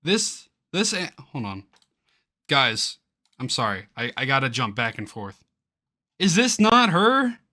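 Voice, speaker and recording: A man giving casual reaction commentary as he reads aloud from a web page, excitable and quick to exclaim in disbelief. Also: mid-range voice. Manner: excitable, easily incredulous, exclaiming. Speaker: YouTuber reacting to a game's crowdfunding page.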